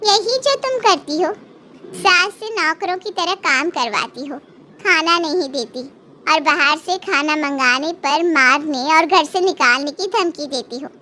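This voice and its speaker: Woman acting a nosy, high-pitched neighbour lady. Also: unhurried, sharp tone, a little hiss or hum. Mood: earnest advising. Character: nosy, concerned neighbour woman who meddles and advises. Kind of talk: Hindi cartoon moral story acting.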